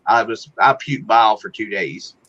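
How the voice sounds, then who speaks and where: A man's voice: on the low side, moderate pace, fairly bright tone, muffled recording, a little hiss or hum. A man in his forties chatting casually and candidly on a livestream, laughing often. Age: 40s. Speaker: livestream participant. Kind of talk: casual chat.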